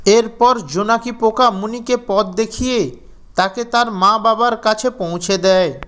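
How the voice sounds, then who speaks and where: A man's voice: high-pitched, unhurried, fairly bright tone. A man narrating a scripted children's fairy tale as storyteller.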